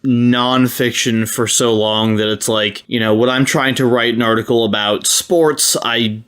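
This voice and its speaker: A man's voice: mid-range voice, fairly even intonation, unhurried, a bit bright, smooth. A man talking casually as a podcast panelist, in a loose, rambling and informal way. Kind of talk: casual chat.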